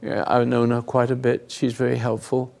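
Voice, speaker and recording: An older man hosting a talk, giving rambling, earnest moral teaching to a live group, often dropping into hushed asides. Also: mid-range voice, completely flat delivery, moderate pace. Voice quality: gravelly, husky. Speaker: host and spiritual counselor.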